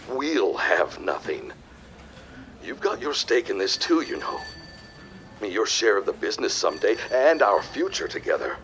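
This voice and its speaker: A man's voice: lowish voice, a bit bright, a little hiss or hum, heavily acted. An actor playing a scheming, domineering businessman in a period audio drama, speaking in a smug, formal, theatrical way.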